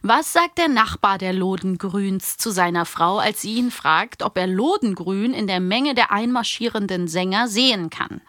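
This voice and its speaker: A woman co-hosting an audio drama podcast in casual chat, playful and quick to laugh, reading out quiz questions. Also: fairly high pitch, a bit bright, dry recording, studio quality. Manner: playful, laughs often, quizzes her co-host. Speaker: podcast co-host.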